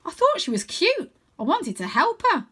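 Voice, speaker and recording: A woman's voice: extremely high pitch, wildly swooping pitch, moderate pace, a bit bright, voice pushed up, full character voice. A woman voicing a feisty young girl on a storybook adventure, blunt and exasperated in manner. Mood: regretful.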